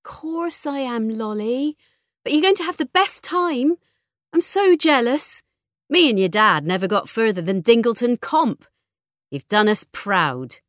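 A woman's voice: medium pitch, very animated, moderate pace, fairly bright tone, no room sound, studio quality, pitched above the performer's own voice. A woman voicing a fretful middle-aged mother chivvying her teenage daughter, as dialogue in an audiobook. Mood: tender, encouraging.

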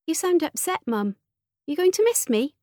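Woman voicing a high-pitched, breezy teenage daughter. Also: big pitch swings, moderate pace, a little mellow, no echo, clean studio sound. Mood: teasing. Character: eighteen-year-old daughter, breezy, cheeky, childishly wheedling teenager. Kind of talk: audiobook character dialogue.

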